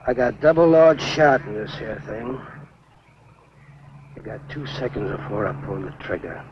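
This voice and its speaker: A man's voice: on the low side, lively intonation, moderate pace, close to an everyday voice. A man playing a jealous, enraged husband with an American accent, barking menacing orders in 1950s B-movie style. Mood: cold threatening anger. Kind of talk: 1950s B-movie film acting. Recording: muffled, noisy old 1950s film soundtrack.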